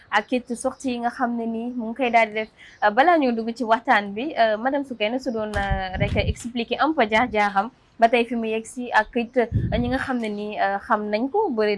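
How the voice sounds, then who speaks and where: A woman's voice: fairly high pitch, a little hiss or hum. A woman, an interview host who talks in a formal, welcoming way with frequent fillers and acknowledgements. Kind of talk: interview hosting mixing Wolof and French.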